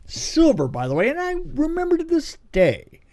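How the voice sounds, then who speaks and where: A middle-aged man telling a story in casual conversation, dramatic and halting, repeating phrases for emphasis. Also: very high voice, huge pitch swings, warm tone. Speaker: podcast co-host telling anecdotes.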